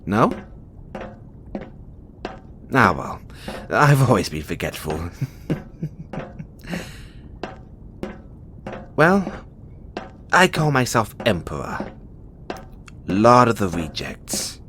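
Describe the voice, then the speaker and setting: Casting: male actor as playful, flirtatious imprisoned experiment with imperial swagger, for audio roleplay. Swooping pitch, unhurried halting delivery, rebellious streak. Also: big pitch swings, noisy background. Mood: casual, boastful. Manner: teasing, flirtatious, halting, with sighs and chuckles. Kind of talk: audio roleplay acting addressed to the listener.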